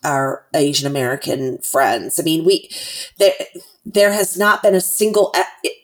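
A woman with a low, unhurried voice co-hosts a podcast while congested, her words coming through her nose. She is reflective and halting, often starting a phrase again before finishing it. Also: lively intonation. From Tennessee. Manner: warm, reflective, halting with restarts. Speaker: podcast co-host. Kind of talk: casual podcast chat.

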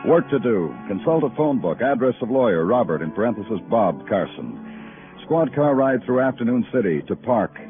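An actor playing a brooding police detective, narrating a 1950s radio noir drama in solemn, clipped poetic phrases. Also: male voice, mid-range voice, very animated, moderate pace, close to an everyday voice. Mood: somber, brooding. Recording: muffled vintage radio recording with music.

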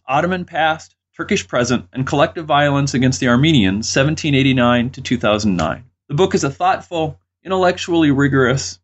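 Husky, high-pitched male podcast host. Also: lo-fi sound. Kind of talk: podcast introduction and interview.

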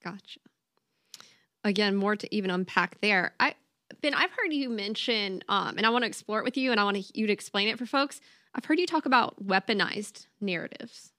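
Very bright-voiced woman interviewer. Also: medium pitch, lively intonation, moderate pace, dry recording, clean studio sound. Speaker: interview host. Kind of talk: conversational interviewing.

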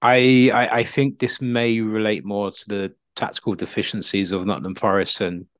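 Discursive man, lowish voice. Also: flat delivery, normal speed, clear, resonant tone, no echo, studio quality. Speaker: podcast co-host and pundit. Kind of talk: casual football punditry.

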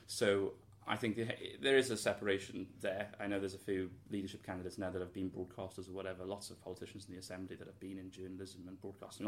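Young guy in an interview, really low voice, pretty monotone, earnest and hedgy. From Wales. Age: young adult. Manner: earnest, thoughtful, with frequent hedges and restarts. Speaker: interviewee, student. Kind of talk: interview answers.

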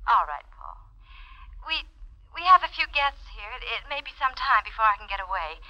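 A woman's voice: on the high side, big pitch swings, fairly quick. An actress playing a sweet, trusting young woman in love in a 1940s radio drama, tender and earnest. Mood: worried. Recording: dull, tinny vintage radio recording.

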